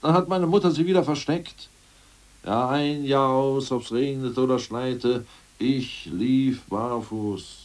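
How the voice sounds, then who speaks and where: A man playing a crude, scheming ousted party functionary in a radio play, boastful and plotting, muttering one moment and loud the next. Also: mid-range voice, slow, recorded from a distance, close to an everyday voice. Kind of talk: 1980s radio play acting.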